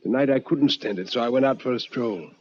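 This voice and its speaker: A man playing an anxious, easily rattled housemate in a ghost-story drama, talking in a halting, protesting way. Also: medium pitch, muffled recording, natural voice. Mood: nervy, confessing. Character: Rudge, a nervy pale housemate, easily rattled.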